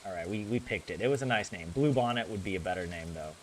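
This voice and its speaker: A man's voice: fairly low pitch, animated, quick pace, slight background noise. A young man with a general American accent chatting casually with his viewers while he plays a game, rambling and correcting himself as he goes. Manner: rambling, chatty, self-correcting, laughs often. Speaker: gaming streamer.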